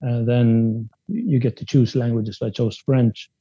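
A man whose first language is Spanish, raised in Sweden, talks in a very dark, mellow voice with a husky edge. He is hesitant and restarts often as he answers interview questions. The podcast audio is dull and dry. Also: medium pitch, fairly even intonation, takes it slowly. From Spanish-speaking country, raised in Sweden. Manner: hesitant, many restarts and fillers. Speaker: guest. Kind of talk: casual interview.

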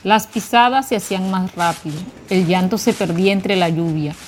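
A woman reading scripted narration for a radio drama in a solemn, measured way. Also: mid-range voice, noisy background. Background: clear music.

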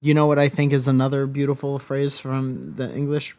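A man's voice: mid-range voice, little pitch movement, brisk, darkish tone, dry recording. A man co-hosting a podcast, chatting casually in a playful, rambling way and swearing now and then. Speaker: podcast co-host. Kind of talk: casual banter.

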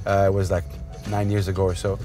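A man's voice: sits low, completely flat delivery, fast, mellow, faint music behind. A man from Saudi Arabia talking casually and earnestly in a podcast conversation, with informal hip-hop slang. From Jeddah, Saudi Arabia.

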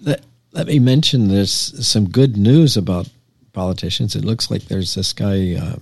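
A man speaking as a podcast guest in casual political chat, in a measured, unhurried way. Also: lowish voice, gravelly, darkish tone, husky, dry recording.

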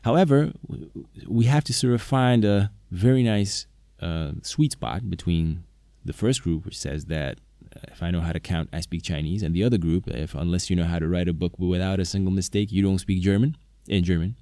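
A man's voice: deep voice, moderate pace, few pauses, warm tone, dry recording, clean studio sound. A man whose first language is Slovak, talking to viewers about knowing languages, hesitant, with many fillers and restarts. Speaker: polyglot video maker, interpreter. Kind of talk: casual talk to camera.

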